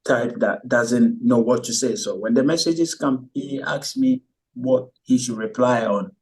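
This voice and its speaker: A man from Ghana, a guest in a casual chat, telling stories in a hesitant, relaxed way with many pauses and ums. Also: mid-range voice, distant mic, rough recording.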